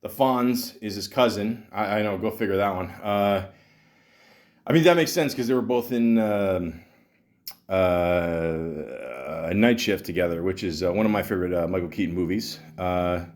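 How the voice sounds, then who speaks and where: A man's voice: low-pitched, a little slow, darkish tone. A man hosting a podcast, delivering a rambling, profane comic monologue full of restarts. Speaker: podcast host. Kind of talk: casual comedic monologue.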